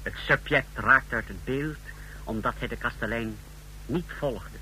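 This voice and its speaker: An actor in a radio drama playing an efficient, deferential officer of a totalitarian lab, explaining in clipped phrases. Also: male voice, mid-range voice, a little slow, sharp tone, low-quality recording. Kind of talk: radio drama acting in Dutch. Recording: radio drama with faint noise.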